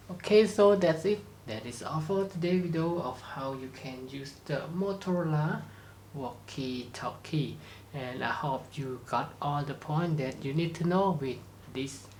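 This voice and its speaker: A tutorial presenter speaks slowly at a medium pitch, in short, halting phrases with non-native grammar. The delivery is a step-by-step product demonstration, with some steady noise behind the voice.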